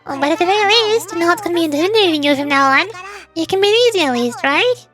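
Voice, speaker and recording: A tiny, childlike companion from a game. The voice sits very high and squeaks along with bubbly, easily flustered energy, over background music. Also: female voice, expressive, fast. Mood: comforting, earnest. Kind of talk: video game character voice acting.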